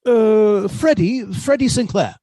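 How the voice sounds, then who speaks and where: A man playing a hesitant, submissive professor husband with a quiet menace, stammering his way through a radio suspense drama. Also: high voice, expressive, takes it slowly, dry recording, studio quality, heavily acted. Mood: meek, flustered. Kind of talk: theatrical radio suspense acting.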